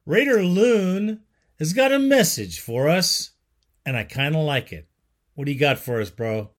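A man's voice: fairly high pitch, expressive, measured pace. A man hosting a sports call-in show, laid-back and chatty, calling callers "bro". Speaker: sports talk show host. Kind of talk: casual call-in show chat.

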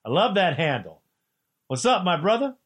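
A man hosting a sports talk radio show, excitable and preachy, trash-talking like a devoted fan. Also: high voice, normal speed, husky.

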